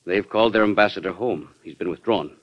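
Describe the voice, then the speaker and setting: An actor playing a formal, deferential and worried Secretary of State in an old radio drama, with an American accent. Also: male voice, sits low, a little fast. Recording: dull lo-fi vintage radio recording.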